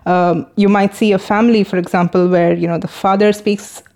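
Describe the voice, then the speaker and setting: A woman's voice: mid-range voice, level tone, moderate pace, darkish tone. A young adult woman from India whose first language is Tamil, answering interview questions as a translator in a thoughtful way, with many fillers and restarts.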